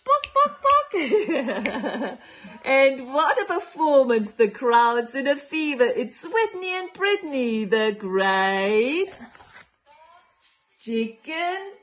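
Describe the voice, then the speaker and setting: A woman reading a children's picture book aloud in a playful, theatrical way, with dramatic whispers and drawn-out words. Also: high voice, expressive. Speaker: children's storytime reader.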